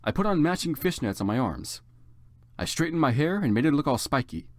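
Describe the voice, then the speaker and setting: A man's voice: a little high, sing-song, brisk, no echo, clean studio sound. A man giving a dramatic, comedic story reading as narrator, with theatrical and exaggerated emphasis.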